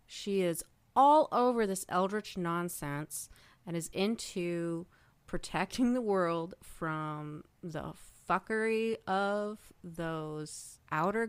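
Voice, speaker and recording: Slow, smooth-voiced woman, enthusiastic and emphatic. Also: mid-range voice, dry recording. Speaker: podcast guest. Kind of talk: casual discussion of a fiction podcast.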